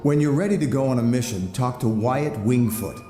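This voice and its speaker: A man's voice: mid-range voice, fairly quick, warm tone, close to an everyday voice. A man playing a proud, easygoing, self-satisfied billionaire host in a video game cutscene. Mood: obliging. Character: rich, proud, breezy male billionaire host. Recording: roomy echo, long reverb, music.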